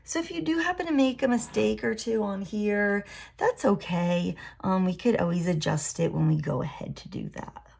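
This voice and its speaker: Raspy woman talking thru a drawing demo, kinda gravelly, laid back, mid pitch. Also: normal speed. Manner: relaxed, thinking aloud while drawing. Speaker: art teacher.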